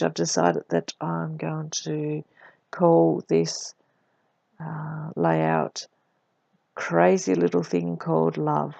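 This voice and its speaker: A woman with a cold, her voice croaky, deep and husky, narrating her scrapbooking in a relaxed voice-over. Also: no room sound, dull audio. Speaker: scrapbooking vlogger.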